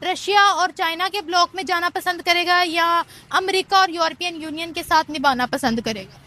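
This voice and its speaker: A woman's voice: extremely high pitch, normal speed, sharp tone. A woman with a Pakistani accent doing political street interviews, asking long, probing, leading questions. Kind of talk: vox pop political interview.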